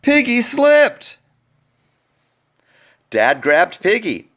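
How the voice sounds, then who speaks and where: Animated man reading a children's storybook. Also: sits high, very slow. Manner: expressive, playful, addresses young listeners directly. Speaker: coach reading a picture book aloud to schoolchildren.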